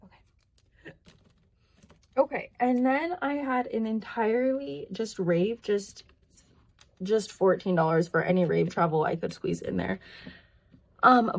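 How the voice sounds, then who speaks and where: A woman vlogger talking casually and thinking aloud as she works, her voice croaky and tired from long talking. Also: mid-range voice. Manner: rambling, thinking aloud, counting to herself. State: voice tiring after long filming. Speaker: budgeting vlogger. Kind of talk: casual chatty hands-on narration.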